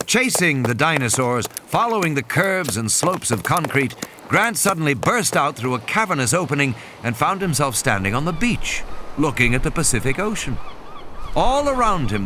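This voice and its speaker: A man narrates a dramatic audiobook with a very animated, wide-ranging pitch. His delivery is measured and literary, set at a medium register. Clear sound-effect ambience runs behind the voice. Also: a little hiss or hum. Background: music, sound effects and background ambience.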